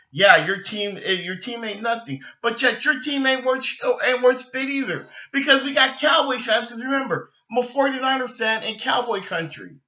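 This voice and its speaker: High-voiced man ranting in an echoey room. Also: bright, forceful tone. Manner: halting, repetitive, exasperated. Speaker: sports fan podcast host. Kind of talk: unscripted sports fan rant. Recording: dull lo-fi sound, echoey room.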